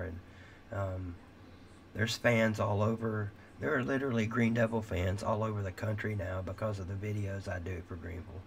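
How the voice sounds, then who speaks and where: A man's voice: fairly low pitch, vocal fry, a little hiss or hum. A middle-aged man hosting a late-night livestream, talking in a rambling, candid way with swearing, and his voice is giving out. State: losing his voice. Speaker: livestream host.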